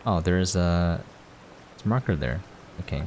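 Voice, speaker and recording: Male game commentator, low register, conversational and informal, faint background noise. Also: lively intonation, measured pace, darkish tone. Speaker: game reviewer and player, host. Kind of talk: casual gameplay commentary.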